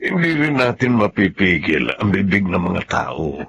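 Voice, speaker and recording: A low, gravelly male voice with lively intonation over clear background noise. a humble, loyal middle-aged man in a radio drama, hesitant and deferential. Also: a little slow, dull audio, exaggerated voice. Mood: hesitant, worried. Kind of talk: Tagalog radio drama acting. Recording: slightly noisy radio drama audio.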